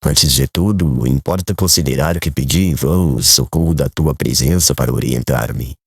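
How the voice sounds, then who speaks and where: A man playing a kindly, grave older man in an audiobook drama, speaking in a measured, formal way. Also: very deep voice, husky, no room sound, exaggerated voice. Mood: serene, firm. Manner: solemn, measured, formal literary phrasing.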